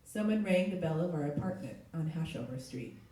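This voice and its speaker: Middle-aged woman, hoarse from a cold, mellow tone, live literary reading, heavily echoing room. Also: low voice, animated, a little fast. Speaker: literary translator giving a reading. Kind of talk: live literary reading aloud with short spoken introduction. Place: echoey indoor event space. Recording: very echoey room, distant mic.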